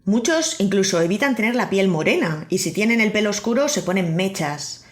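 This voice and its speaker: Spanish woman host, scripted podcast monologue, dry humor, mid-pitch voice. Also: lively intonation, a little fast. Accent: Spain Spanish. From Spain. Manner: wry, personal storytelling. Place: living in Miami.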